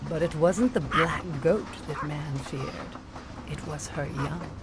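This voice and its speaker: An actress playing a chatty, eccentric older widow who tells folklore in a grandiose, theatrical way in an audio drama. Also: female voice, sits low, slow pace, heavy background noise. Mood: ominous. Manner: theatrical storytelling, grandiose diction.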